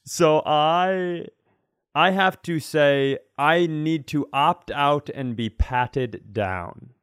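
A male comedian and podcast host telling stories casually, acting out bits in an animated, self-deprecating way. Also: a little high, drawn-out words, clear, resonant tone, no echo, studio quality. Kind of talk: casual comic storytelling.

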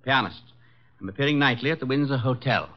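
A man plays a guarded, evasive male visitor in an old radio mystery. His voice sits at a middle pitch, and the recording is dull and vintage. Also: fast, lightly acted. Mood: guarded, insistent. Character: evasive male client posing as a pianist. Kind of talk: 1950s radio drama acting.

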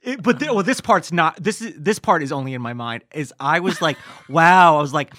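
A man hosting a podcast, teasing his guest in quick comic banter on a close mic. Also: on the high side, expressive, bright, forceful tone, dry recording. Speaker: podcast host. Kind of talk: casual comedic chat.

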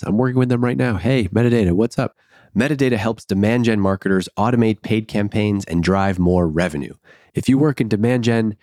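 A man's voice: on the low side, a little mellow, no room sound, clean studio sound. A man hosting a podcast, reading an ad and chatting with loose, jokey asides. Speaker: podcast host. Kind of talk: casual podcast chat and ad read.

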